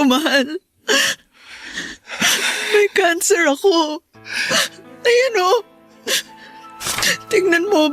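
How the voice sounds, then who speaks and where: A woman acting a frightened young wife and mother in a Filipino radio drama, crying and speaking haltingly. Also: sits high, a bit bright, no echo. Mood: grave, shaken. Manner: tearful, halting, repeats phrases.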